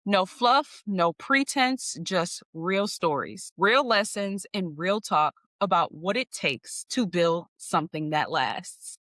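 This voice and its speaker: A woman's voice: fairly low pitch, animated, takes it slowly, hardly any pauses, a bit bright, no room sound. Polished female podcast host, dry sound. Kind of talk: scripted guest introduction and interview questions.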